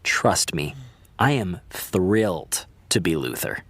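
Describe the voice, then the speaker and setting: An easygoing, supportive confidant in a naturalistic audio drama. His low voice takes its time, with expressive rises and falls. He is gentle, wry and dryly teasing. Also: husky, dry recording, heavily acted. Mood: easygoing, sincere. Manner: dry, measured, teasing.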